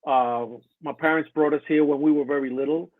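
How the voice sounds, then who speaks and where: A man from Colombia chats as a guest, halting and filling pauses as he searches for words. His voice sits a little high. It comes through a thin, phone-like remote line with no room sound. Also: no room sound. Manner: relaxed, hesitant, many fillers. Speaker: guest illustrator. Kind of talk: casual interview chat.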